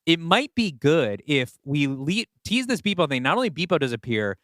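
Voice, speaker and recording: A man co-hosting a podcast, talking through story ideas casually and reasoning them out in a measured way. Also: fairly high pitch, big pitch swings, fairly bright tone, no room sound, studio quality. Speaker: podcast co-host and story writer. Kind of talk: casual brainstorming conversation.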